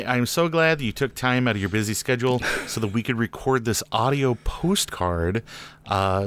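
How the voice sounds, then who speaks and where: A man's voice: fairly low pitch, a bit bright, clean tone. A man presenting podcast interviews in a casual, mock-formal chatty style. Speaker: podcast host introducing interviews.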